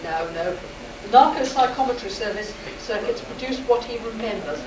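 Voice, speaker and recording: Calm doctor, female actor, slightly high voice, soothing clinical radio drama delivery, distant in a roomy space. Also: moderate pace, lightly acted. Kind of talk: live radio play acting. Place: convention hall stage, live performance. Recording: echoey distant live hall, noisy.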